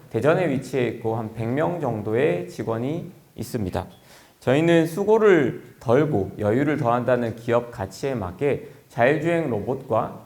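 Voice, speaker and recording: A man's voice: medium pitch, a little mellow. A man giving a formal conference presentation as a company executive, speaking in a polite, explanatory manner.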